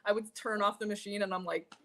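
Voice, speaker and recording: A woman's voice: mid-range voice, steady tone, fast talker, fairly bright tone. A woman co-hosting a casual book chat, telling her reactions in an emphatic, conversational way. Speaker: co-host of a book readalong discussion.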